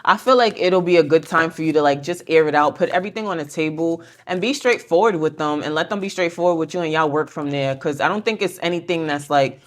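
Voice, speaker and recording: A woman's voice: deep voice, fast, hardly any pauses. A woman hosting a casual tarot reading, talking in a blunt, slangy, conversational way as she spins out scenarios. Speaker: tarot reader and video host. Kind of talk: casual pick-a-card tarot reading to camera.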